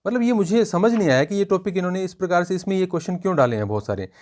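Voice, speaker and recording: A man's voice: high-pitched, expressive, very fast, a little mellow. A man giving an informal educational review, explaining point by point and often repeating himself. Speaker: exam-prep book reviewer.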